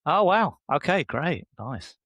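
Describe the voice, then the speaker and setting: A man's voice: slow, dry recording. A man, an enthusiastic interview guest who speaks casually and keeps affirming with "yeah". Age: 60s. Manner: enthusiastic, animated pitch jumps, frequent affirming "yeah". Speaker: guest, leadership coach.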